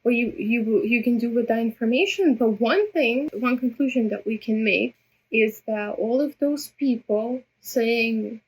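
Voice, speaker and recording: A woman whose native language is Russian rambles through an unscripted video message, halting and repeating herself as she loses her thread. Her voice is fairly high yet gravelly with fry, and has a husky, rough edge. It sounds like a phone camera held close. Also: low-quality recording. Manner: rambling, halting, many restarts and stammered repeats. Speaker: vlogger addressing viewers. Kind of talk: unscripted personal monologue.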